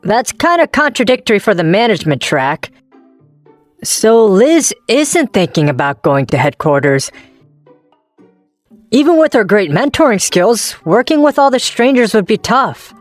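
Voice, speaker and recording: A woman's voice: medium pitch, very animated, measured pace, no room sound, soft background music. A woman voicing a young male office worker and ex-boyfriend in a manga dub, introspective and wryly self-deprecating, narrating and talking in character. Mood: probing, guarded.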